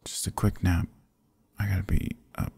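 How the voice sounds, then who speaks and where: A man plays a young boyfriend who is sick, stubborn and loving, in an intimate ASMR roleplay. His very low voice is mellow and full of fry, murmured drowsily and softly, as if to a partner right beside him. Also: level tone, moderate pace, dry recording, heavily acted. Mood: teasing, sleepy. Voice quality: husky, gravelly, breathy. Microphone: close, dry microphone.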